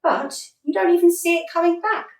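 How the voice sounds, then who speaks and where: A woman's voice: extremely high pitch, fairly quick, darkish tone. A woman playing an exasperated, earnest football manager in a radio comedy, patient and articulate with a dry sarcastic edge. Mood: exasperated, sardonic. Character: earnest, exasperated female football manager coaching wayward players. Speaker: actor in a radio play. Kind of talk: radio comedy drama acting. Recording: roomy echo, distant from mic.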